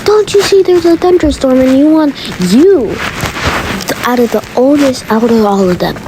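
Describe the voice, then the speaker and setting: A woman's voice: high voice, lively intonation, moderate pace. A woman playing an exasperated, bossy mother of many children in an amateur family roleplay. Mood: annoyed. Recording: noisy home recording with clear background noise.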